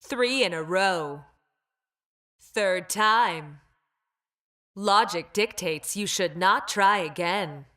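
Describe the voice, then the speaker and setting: An actress playing a cold, logical engineered creature in a video game, speaking in a deadpan, precise and formal way. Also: female voice, mid-range voice. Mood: calm, confident. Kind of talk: video game character voice lines.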